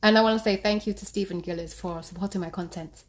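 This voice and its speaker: A woman's voice: medium pitch, steady tone, fast. A woman hosting and delivering commentary to her audience. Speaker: host. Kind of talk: video commentary.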